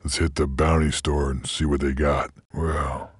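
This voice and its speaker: A weary, menacing frontier bounty hunter creature from a video game, speaks in an extremely deep, husky voice. His Western American drawl is slangy and deadpan. The recording is close and dry. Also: measured pace, dry recording, heavily acted. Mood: gruff, businesslike. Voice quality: husky, gravelly. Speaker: game protagonist. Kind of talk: video game character lines.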